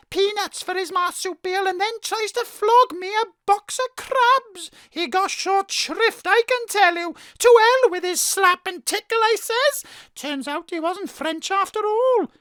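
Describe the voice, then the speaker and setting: A woman voicing a harried, gossipy barmaid in an audio drama, with shrill, indignant chatter. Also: measured pace, hardly any pauses, fairly bright tone, dry recording, studio quality. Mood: indignant gossip.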